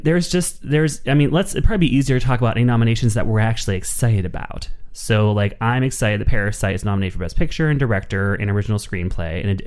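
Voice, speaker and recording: A man's voice: on the low side, darkish tone, clean tone. A man co-hosting a film podcast in casual chat, talking with a dry, wry sense of humor.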